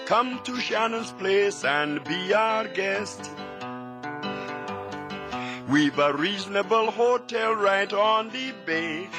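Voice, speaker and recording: An actor playing an easygoing calypso guitarist and storyteller in 1950s radio drama, with a lilting, rhythmic delivery. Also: male voice, sits high, exaggerated voice. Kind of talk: 1950s radio acting, rhymed musical narration. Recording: vintage 1950s radio recording with music.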